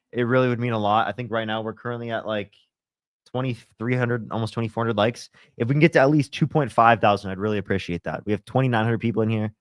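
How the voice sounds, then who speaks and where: A man's voice: fairly low pitch, no echo, studio quality. Congested young man chatting on a livestream. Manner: laid-back, filler-heavy, lots of "like" and "you know". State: congested, slightly sore throat. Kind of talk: casual livestream chat reading comments.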